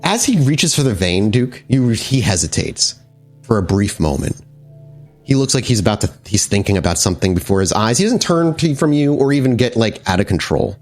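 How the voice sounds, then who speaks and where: A man narrating a tabletop vampire game as its game master, in a dramatic, halting storytelling manner. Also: fairly low pitch, big pitch swings, a little mellow, faint music behind.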